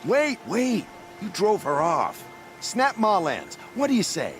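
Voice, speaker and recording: High-voiced bickering market merchant. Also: male voice, wildly swooping pitch, slow pace, full character voice. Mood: haggling. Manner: bickering, complaining. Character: grumbling, haggling male market merchant, quarrelsome with his partner. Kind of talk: video game dialogue acting. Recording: music behind the dialogue.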